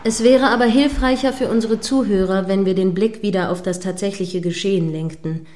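A woman's voice: medium pitch, fairly even intonation, brisk, darkish tone, lightly acted. A woman playing a prim, combative radio news anchor in a radio play, speaking formally with pointed, sceptical irritation. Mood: businesslike, corrective. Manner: stern, pointed, formally articulate.

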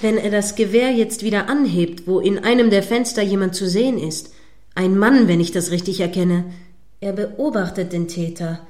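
A woman's voice: mid-range voice, normal speed, darkish tone, close to an everyday voice. A woman playing an indignant, moralizing radio reporter giving live commentary on a standoff in a radio play. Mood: tense, disapproving.

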